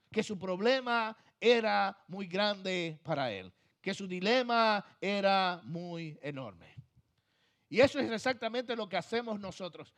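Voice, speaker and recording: A man with a Puerto Rican accent preaching a sermon as pastor, pushing phrases hard and then letting them fall soft. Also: sits high. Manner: emphatic preaching, swings from loud to soft trailing phrases.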